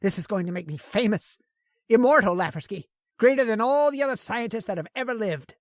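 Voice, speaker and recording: A woman's voice: mid-range voice, expressive, brisk, no echo, clean studio sound, close to an everyday voice. A woman playing an arrogant, obsessive male scientist. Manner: bossy and domineering, gloats and sneers. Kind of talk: old-time radio horror acting.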